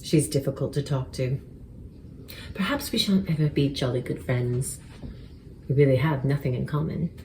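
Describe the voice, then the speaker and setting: A woman acts out a wry, envious dinner guest in an audio drama of a novel. Her low voice is dry and arch. It sounds distant from the mic, with background noise. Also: normal speed, pauses often, darkish tone. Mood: envious musing.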